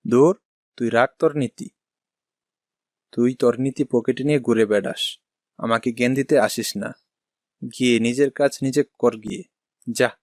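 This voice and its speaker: A man's voice: medium pitch, steady tone, moderate pace, pauses often, a little mellow, no room sound, studio quality, natural voice. A man voicing an ice cream seller and husband in a cartoon story. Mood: irritated, scolding. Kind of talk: Bengali cartoon dialogue.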